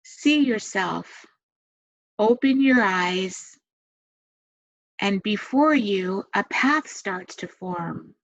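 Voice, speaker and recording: Female meditation guide, very slow delivery, soothing and gentle with long pauses, slightly muffled lo-fi recording. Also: mid-range voice, expressive. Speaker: meditation guide and massage therapist. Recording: dull, muffled lo-fi sound.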